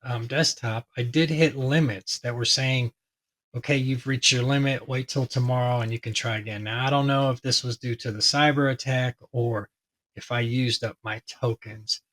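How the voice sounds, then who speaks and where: A man with a hoarse voice talking casually and ramblingly as he explains a tech topic to his viewers, with many fillers. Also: medium pitch, fairly even intonation, normal speed, a bit bright. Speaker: tech video host.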